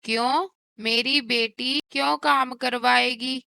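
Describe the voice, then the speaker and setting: Cartoon mother-in-law, woman performer: middle-aged, harsh, bossy, sarcastic; forceful bright tone, measured pace. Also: a little high, fairly even intonation, vocal fry, smooth, no room sound, exaggerated voice. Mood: angry, scolding. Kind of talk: cartoon moral story dialogue.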